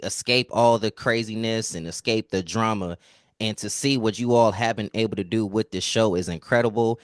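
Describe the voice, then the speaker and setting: A man with a fairly low voice conducts a conversational entertainment interview. He is encouraging and full of compliments for his guests. The sound is dry, with very little room around him. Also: normal speed, no echo.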